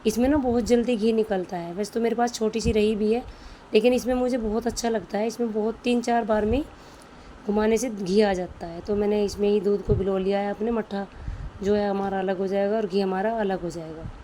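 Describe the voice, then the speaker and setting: A woman's voice: mid-range voice, fairly even intonation, fairly quick, mellow, slight background noise. A woman vlogger with a sore throat, chatting homely and directly to her viewers about her day. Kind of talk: casual home vlog voice-over.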